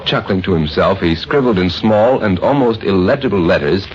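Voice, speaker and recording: Low-voiced man narrating old-time radio. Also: expressive, normal speed, slight background noise. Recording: dull old radio recording, faint music.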